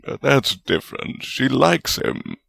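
A man's voice: mid-range voice, animated, slow pace, gravelly, a bit bright, no echo, studio quality, voice pushed up, heavily acted. A man voicing a hearty, stubborn, teasing male wizard spirit with a jovial manner in a storybook reading. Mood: protesting.

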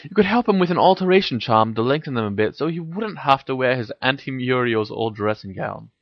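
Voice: medium pitch, animated, fairly quick, dry recording, highs cut off. A reader voicing a defensive teenage boy wizard in an audio story, grumbling and petulant. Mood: annoyed, evasive.

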